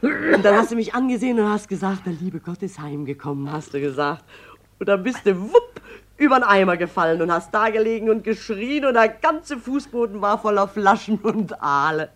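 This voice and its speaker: A fond, merry wife in a radio play, acted by a woman, with a mid-pitched voice. She tells her story affectionately and teasingly. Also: lively intonation, normal speed, close to an everyday voice. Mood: amused, fond.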